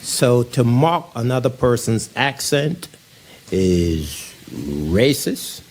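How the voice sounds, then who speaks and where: A man on a town council answering public comment in a measured, formal way. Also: mid-range voice, animated, darkish tone, a little hiss or hum. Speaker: council member. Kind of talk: council rebuttal. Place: council meeting chamber.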